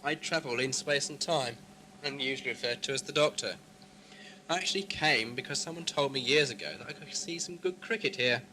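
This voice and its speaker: A man's voice: mid-range voice, brisk, bright, forceful tone, a little hiss or hum, natural voice, rough recording. A man with a British accent acting an eccentric, flippant space-travelling scientist in an amateur audio drama. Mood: breezy, wistful. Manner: flippant, breezy, teasing. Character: whimsical, eccentric male scientist who travels space and time. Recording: music under dialogue, home-made cassette drama.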